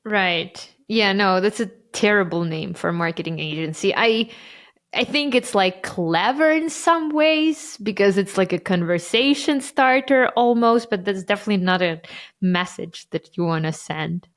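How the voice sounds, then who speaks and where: A woman whose first language is Ukrainian, with a mid-range voice. She co-hosts a relaxed business talk and tells stories quickly and with enthusiasm, filling them with "like". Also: medium pitch. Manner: chatty, quick storytelling with many "like" fillers. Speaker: podcast co-host. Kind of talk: casual business chat.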